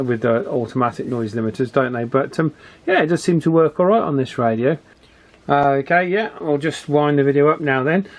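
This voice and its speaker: A man chatting casually as he hosts a radio hobby video, hesitant and conversational with frequent tag questions. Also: mid-range voice.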